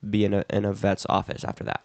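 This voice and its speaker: A young man co-hosting a casual chat podcast, relaxed and rambling, with a slightly stuffy nose from a cold. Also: on the low side, fast, no echo. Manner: relaxed, rambling, laughs easily. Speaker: podcast co-host.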